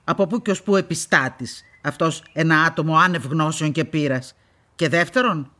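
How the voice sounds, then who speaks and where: A woman's voice: low voice, sharp tone. An actress playing a stern, imperious upper-class woman estate owner in a radio play, curt and haughty, with formal old-fashioned phrasing.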